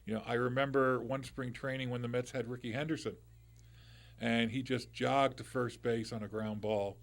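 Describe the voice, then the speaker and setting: Loud, chatty middle-aged man on the radio. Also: mid-range voice, steady tone, moderate pace, gravelly. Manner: chatty and conversational, with restarts and asides. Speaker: lawyer hosting a call-in radio show. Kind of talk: live call-in radio talk. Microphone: studio microphone.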